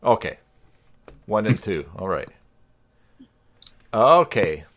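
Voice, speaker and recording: A man's voice: fairly low pitch, very animated, slow, fairly bright tone. A man hosting a live stream, chatting informally and jokingly with a group on a video call.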